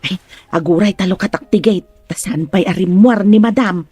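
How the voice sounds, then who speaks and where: A woman's voice: mid-range voice, normal speed, mellow, slightly rough, lightly acted. A woman with an Ilocano accent playing a timid, deferential housemaid in a radio drama. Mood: helpful.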